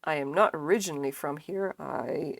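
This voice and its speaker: A woman's voice: deep voice, moderate pace, vocal fry, no room sound. Chatty Irish woman streaming, dry close sound. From Ireland. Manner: chatty, rambling, swears freely, laughs often. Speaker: crochet streamer. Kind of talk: casual live-stream chat.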